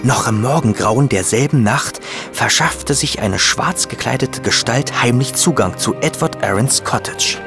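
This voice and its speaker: An actor in a German audio drama playing an earnest sidekick who also chronicles the case, speaking expressively. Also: male voice, medium pitch, unhurried, few pauses, music in the background, close to an everyday voice. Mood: narrating.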